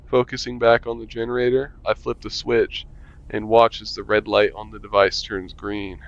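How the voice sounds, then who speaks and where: A man reading a visual novel aloud as a gamer, voicing narration and the player in a relaxed, wry way and muttering while he plays. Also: fairly low pitch, dry recording. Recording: lo-fi mic with faint music.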